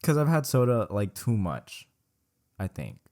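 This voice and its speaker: A man's voice: on the low side, sing-song, a little slow, a little mellow. A young man from California, chatting casually on his own show, rambling and correcting himself, swearing a lot and saying "bro" over and over. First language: Vietnamese. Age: young adult. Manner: slangy and profane, says "bro" a lot. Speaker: solo podcast host. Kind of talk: casual solo Q&A chat.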